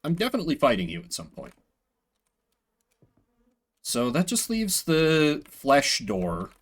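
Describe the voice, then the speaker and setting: A man's voice: fairly high pitch, huge pitch swings, measured pace, breathy edge. A man doing live game commentary in a playful, sweary way, reading bits of text aloud and chatting with viewers. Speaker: game streamer. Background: video game music.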